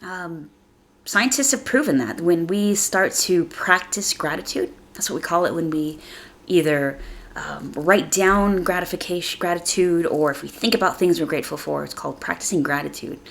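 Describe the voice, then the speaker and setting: Woman, low-pitched, creaky, slightly raspy with a chest cold, conversational, earnest, motivational podcast host. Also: moderate pace. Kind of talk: casual motivational podcast monologue.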